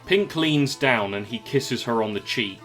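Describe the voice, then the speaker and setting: A man's voice: mid-range voice, animated, a little slow, a bit bright, faint music behind. A man narrating a scripted audio drama as the storyteller.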